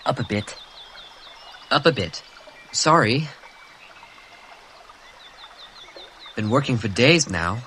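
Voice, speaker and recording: A man's voice: medium pitch, lively intonation, unhurried, bright, forceful tone, slight background noise. Brief: tired, fed-up boy leader, plain mid voice, read by a man. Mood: weary.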